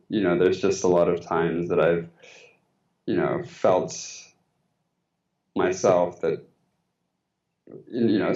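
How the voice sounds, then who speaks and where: A man's voice: sits low, darkish tone. A man with an American accent, a guest on a phone-in interview, thoughtful and hesitant with many fillers. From Mexico. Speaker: guest writer. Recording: tinny echoey phone line.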